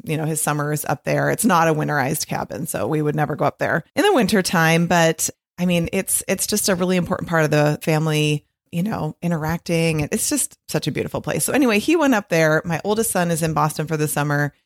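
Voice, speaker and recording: A middle-aged woman hosting her podcast alone, her voice a little husky, talking in a chatty, rambling way with frequent laughs. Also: low-pitched, a little fast, few pauses. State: slightly husky from long recording. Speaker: podcast host and author. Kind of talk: casual solo podcast monologue.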